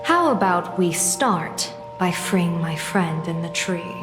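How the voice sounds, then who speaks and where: A woman playing a calm, shrewd strategist in a video game, speaking in a measured, formal way. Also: lowish voice. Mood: lightly amused. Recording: echoey hall reverb, music behind.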